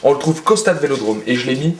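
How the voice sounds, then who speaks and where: A man in his thirties playing an excitable, football-obsessed dinner host who rambles with lots of fillers. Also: medium pitch, fast, lightly acted. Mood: eager. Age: 30s. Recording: roomy, distant camera audio, faint noise.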